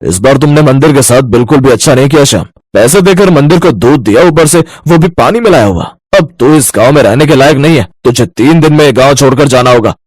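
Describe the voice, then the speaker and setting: A man's voice: mid-range voice, lively intonation, quick pace, hardly any pauses, darkish tone, dry recording, lightly acted. A man voicing a stern, angry village headman who speaks in an accusing, commanding way in a moral story. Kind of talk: animated moral-story character acting.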